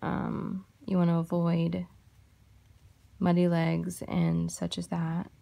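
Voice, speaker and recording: A woman hosting a crochet tutorial, talking softly and unhurriedly in a gravelly voice, having just woken up. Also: lowish voice, completely flat delivery. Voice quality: gravelly morning voice. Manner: soft-spoken, unhurried with fillers. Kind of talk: casual tutorial chat while crocheting.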